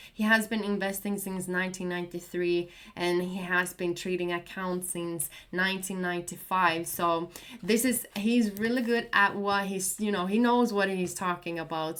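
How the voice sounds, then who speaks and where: A woman's voice: mid-range voice, a bit bright. A woman with a Swedish accent, Swedish being her first language, talking casually and earnestly to camera as a trading vlogger, encouraging and repeating herself. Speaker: crypto trading YouTuber. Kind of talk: casual recommendation talk to camera.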